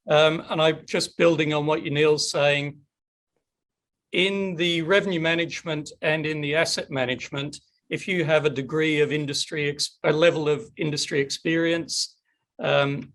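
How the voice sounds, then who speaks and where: A man's voice: fairly high pitch, a bit bright. A middle-aged man hosting a webinar Q&A on a video call, chatty and upbeat. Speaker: webinar host. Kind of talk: casual webinar Q&A.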